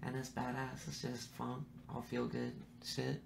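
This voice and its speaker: A man from San Francisco chatting casually as a musician guest on a podcast, in a laid-back, slangy way with free swearing and a gravelly voice. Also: medium pitch, completely flat delivery, a little slow, noisy background. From San Francisco, United States. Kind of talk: casual podcast interview chat.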